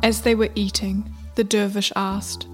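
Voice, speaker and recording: A woman narrates in a scripted storybook style, very slowly and at a middle pitch. Faint music plays beneath her voice. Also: level tone.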